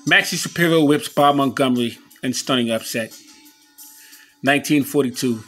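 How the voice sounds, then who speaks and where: A man giving a casual show-and-tell commentary as an enthusiastic collector, halting a little as he reads old clippings aloud. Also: mid-range voice, a bit bright, faint music behind. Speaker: boxing memorabilia collector showing his scrapbooks on video.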